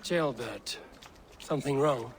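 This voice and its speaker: Blunt, resolute Viking raider. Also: male voice, medium pitch, takes it slowly, a little hiss or hum. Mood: firm. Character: steadfast, blunt Viking raider hero, male. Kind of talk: dramatic video game acting.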